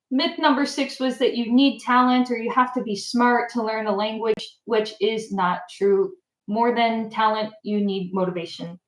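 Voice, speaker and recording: A woman hosting a casual livestream, chatting with viewers and answering their comments in a relaxed, filler-heavy way. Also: fairly high pitch. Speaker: channel host. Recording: echoey room.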